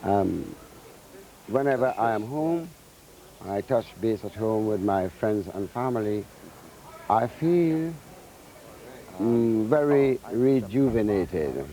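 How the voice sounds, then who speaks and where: A man from Trinidad, a carnival musician speaking reflectively in a relaxed interview. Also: fairly low pitch, slow, pauses often, rough recording. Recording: music playing behind.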